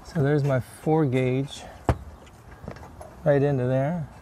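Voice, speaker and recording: A man with a mid-pitched voice talks through a DIY vehicle job as he does it. He speaks casually and off the cuff, in short plain remarks, as if thinking aloud. Some ambient work noise sits behind his voice. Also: fairly even intonation, slow pace, warm tone. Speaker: DIY vlogger demonstrating a vehicle repair. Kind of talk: casual hands-on how-to narration while working.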